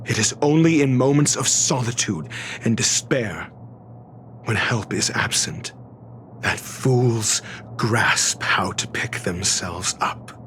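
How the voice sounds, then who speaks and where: Arrogant, unhurried scholar-doctor. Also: male voice, mid-range voice, a bit bright, slight background noise. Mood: lofty lecturing. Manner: pompous, measured, formal theatrical phrasing. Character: arrogant, erudite male scholar-doctor, fastidious and disdainful of fools. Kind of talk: scripted video game cutscene dialogue.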